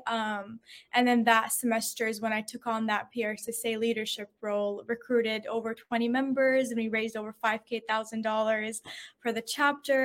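A young woman in her twenties, originally from Syria, chatting as a podcast guest and retelling past conversations in a lively way. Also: a little high. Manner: chatty, acts out past conversations with light laughs. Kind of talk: casual interview storytelling.

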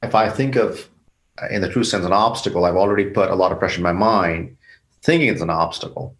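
A man from Bangladesh speaks as an interview guest, his voice sitting on the low side. He talks thoughtfully, breaking ideas into steps and now and then repeating a word as he thinks. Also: a little fast. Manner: reflective, explains in steps, repeats words. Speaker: company founder, guest. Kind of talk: interview conversation.

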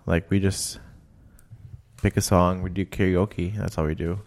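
A man's voice: low voice, little pitch movement, unhurried, darkish tone. A man chatting casually on a podcast, rambling with lots of fillers and restarts. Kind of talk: casual chat.

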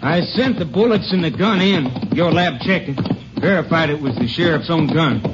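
A man's voice: a little high, moderate pace, over loud music, close to an everyday voice. An actor playing a skeptical, defensive small-town lawman in a 1950s radio western. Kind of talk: 1950s radio drama acting. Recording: muffled, noisy old 1950s radio recording.

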